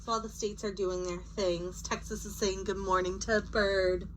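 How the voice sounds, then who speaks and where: A woman teacher doing a children's read-aloud, expressive and chatty, with casual asides. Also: mid-range voice, normal speed, slight background noise, poor audio.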